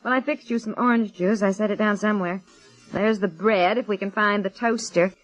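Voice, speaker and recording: A woman's voice: mid-range voice, steady tone, brisk, soft background music, lightly acted. A woman playing a chatty, flustered housewife in a 1960s radio domestic comedy. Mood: cheerful, busy. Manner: wifely, protesting, rambling. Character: flustered, chatty housewife busy spring cleaning. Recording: dull old radio show recording.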